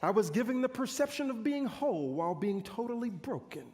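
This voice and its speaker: Man playing a boastful ancient army commander, very high voice, swooping delivery, pompous stage monologue, dramatic pauses. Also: sing-song, a little mellow. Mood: anguished. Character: proud, boastful male army commander of ancient Israel's era, bitter. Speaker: pastor performing a monologue. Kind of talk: theatrical stage monologue to an audience. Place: large church hall stage. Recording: echoey hall with long reverb.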